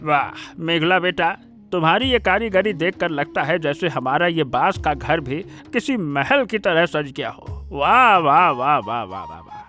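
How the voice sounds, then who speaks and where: A man playing a warm, sentimental elderly village father in a Hindi cartoon story, given to exclaiming. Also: on the high side, very animated, a little slow, faint music behind, heavily acted. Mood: delighted. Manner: exclamatory, repeats words of praise.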